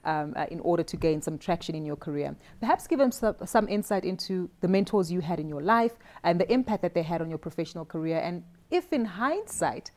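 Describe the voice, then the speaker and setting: A woman's voice: fairly low pitch, animated, a little fast, hardly any pauses, darkish tone. A woman hosting a studio roundtable, posing long structured questions to the panel. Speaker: host. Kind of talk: moderating a panel discussion. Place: dark studio set at a round table.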